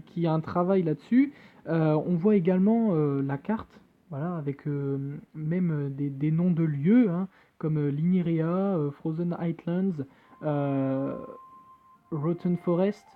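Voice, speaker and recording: A man's voice: a little high, measured pace. A man giving casual, hesitant commentary as he plays a phone game and reads its text aloud, with many fillers and jokey asides. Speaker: gamer playing on a phone. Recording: tinny phone-like screen recording with faint game music. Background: faint game music.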